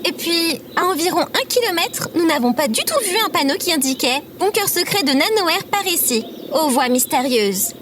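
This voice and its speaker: A woman's voice: very high-pitched, big pitch swings, normal speed, hardly any pauses, background noise. A woman playing a composed, dryly witty quest leader with a matter-of-fact manner in an audio drama.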